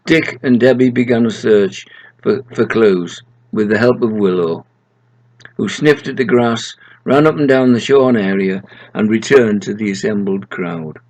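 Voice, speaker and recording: A man's voice: fairly low pitch, a little slow, a little mellow, low-quality recording. An older man in his seventies reading a story aloud in a storytelling style. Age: 70s. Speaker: author reading his own short stories aloud. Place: small room at home, indoors.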